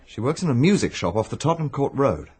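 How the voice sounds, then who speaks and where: An actor with an English accent playing an anxious, urgent amateur sleuth in a radio drama, with a husky voice. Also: male voice, medium pitch, sing-song, quick pace, a little mellow. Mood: reasoning.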